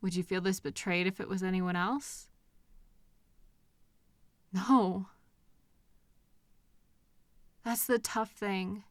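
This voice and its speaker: A woman's voice: medium pitch, dry recording, studio quality, natural voice. A woman playing a caring partner in an intimate comfort roleplay, speaking tenderly and expressively straight to the listener. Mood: gentle, reassuring. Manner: tender, expressive, with soft pauses and questions. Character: caring, gently indignant woman comforting her upset partner. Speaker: audio roleplay performer. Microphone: close microphone in a dry room.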